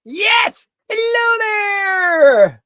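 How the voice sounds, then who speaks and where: A woman chatting casually as a co-host, excitable and quick to interject. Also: very high-pitched, no echo, shouting, studio quality. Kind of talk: casual chat.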